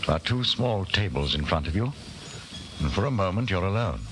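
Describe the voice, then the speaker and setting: An actor playing a grave, measured narrator in a 1940s radio drama. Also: male voice, sits low, animated, background noise. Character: grave male narrator addressing the listener as the prisoner. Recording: old 1940s radio broadcast with music.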